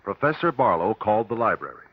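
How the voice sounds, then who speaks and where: An actor playing a persistent examiner at an inquest, asking formal, clipped questions in an old radio drama. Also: male voice, fairly low pitch, big pitch swings, quick pace, breathy edge, dry recording. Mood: probing, formal. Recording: dull, dry old radio recording.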